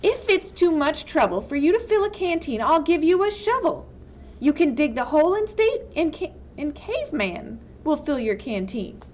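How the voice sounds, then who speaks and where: A woman reading a storybook voices a tall female camp warden, cold and commanding, with slow, menacingly polite speech. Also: sits high, sing-song, a little mellow, muffled recording, a little hiss or hum, voice pushed up. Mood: stern threat.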